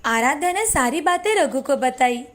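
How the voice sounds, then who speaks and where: A woman narrating a children's moral story in a steady, expressive scripted reading. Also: sits high, normal speed.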